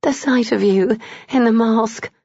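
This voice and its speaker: A woman reading as an eager, inexperienced young woman who pleads and insists in a breathy voice. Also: fairly high pitch, a little slow, warm tone, no room sound, muffled recording, pitched above the performer's own voice. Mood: pleading, eager.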